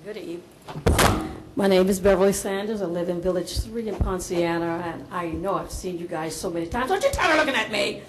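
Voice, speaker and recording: A woman's voice: lowish voice, expressive, brisk. A woman speaking as a resident at a public hearing, forthright and emphatic, often repeating her phrases. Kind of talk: public testimony. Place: indoor public meeting room. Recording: roomy hearing room, faint background noise.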